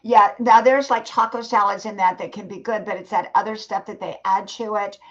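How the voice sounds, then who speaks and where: A woman in her sixties talks in a friendly, rambling way, circling back over her own words as she encourages her viewers. Her voice sits at an ordinary middle pitch. The stream audio is a little dull and lo-fi. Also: fairly even intonation, fairly quick. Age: 60s. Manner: chatty, repeats herself, encouraging. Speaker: live stream host. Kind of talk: casual live stream chat with viewers.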